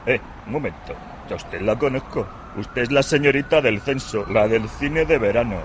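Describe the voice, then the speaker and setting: A man's voice: medium pitch, expressive, moderate pace, close to an everyday voice. An actor playing a grumpy, scolding male official in a radio drama, bossy and colloquial in manner. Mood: wary, surprised. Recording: clear street noise and cars behind.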